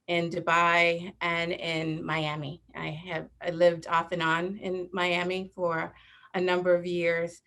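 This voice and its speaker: A woman from Detroit, a panelist introducing herself on a video call in a gracious, measured way. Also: low voice, fairly bright tone.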